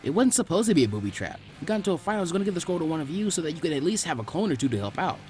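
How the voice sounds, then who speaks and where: A man's voice: a little high, sing-song, very fast, a little mellow, soft background music. Man doing a wry, battered teenage ninja boy. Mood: weary, wry.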